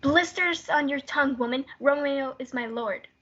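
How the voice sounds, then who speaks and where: A woman's voice: sits high, normal speed, bright, forceful tone. A woman playing Juliet, a devoted, anguished young bride, in a student readers theatre. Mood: defiant, anguished. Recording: muffled, noisy lo-fi home recording.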